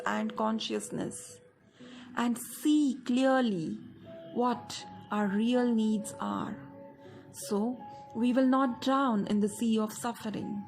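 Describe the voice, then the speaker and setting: A woman with an Indian accent guides a meditation in a fairly high voice. She reads very slowly and deliberately, leaving space between phrases. Soft music plays behind her. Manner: measured, deliberate phrasing with pauses. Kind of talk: guided meditation narration, scripted.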